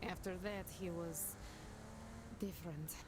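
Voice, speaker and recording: An actress voicing a tough female gang member in a crime video game, heard as quiet, distant game audio. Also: fairly low pitch, fairly even intonation, unhurried, slight background noise, close to an everyday voice. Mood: calm.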